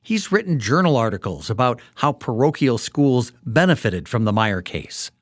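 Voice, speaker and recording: A man hosting a history podcast, narrating in a clear storytelling manner. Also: mid-range voice, big pitch swings, moderate pace, dry recording, clean studio sound. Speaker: podcast host. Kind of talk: scripted documentary narration.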